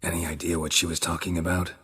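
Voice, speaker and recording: An actor playing a gruff, stoic monster hunter in a video game, speaking in a terse, wry deadpan. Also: male voice, low-pitched, fast talker, in a large hall, heavily acted. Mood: dry, guarded.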